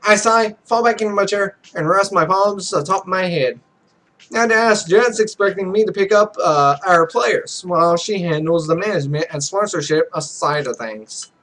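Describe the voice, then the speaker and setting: A man with a high voice reads a visual novel aloud for a gaming video. His delivery is theatrical, and he stumbles over the text and drops in asides of his own. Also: normal speed, a bit bright, poor audio.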